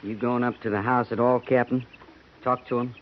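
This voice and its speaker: An actor playing a loyal, plain-spoken cavalry sergeant with a folksy way of talking. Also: male voice, mid-range voice, flat delivery, normal speed, close to an everyday voice. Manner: respectful, folksy. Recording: muffled 1950s radio broadcast with hiss.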